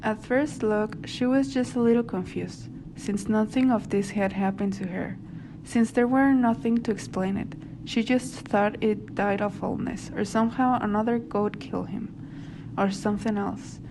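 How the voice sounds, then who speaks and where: Female narrator for a radio drama, medium pitch, clearly noisy home recording. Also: steady tone, moderate pace.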